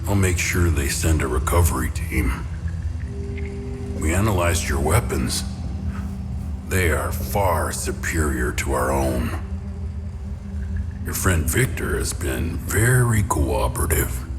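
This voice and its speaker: An actor playing a cold, smugly cruel interrogator in a video game, measured and mockingly polite. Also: male voice, rumbling low, lively intonation, heavily acted. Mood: smug menace. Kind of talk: video game cutscene acting. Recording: long hall reverb, music behind.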